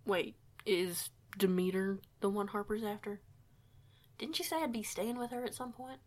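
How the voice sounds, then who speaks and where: A woman's voice: mid-range voice, normal speed, a little mellow, natural voice. A woman playing a shy young female werewolf newcomer in an audio roleplay, hesitant, folksy and polite. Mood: hesitant, worried.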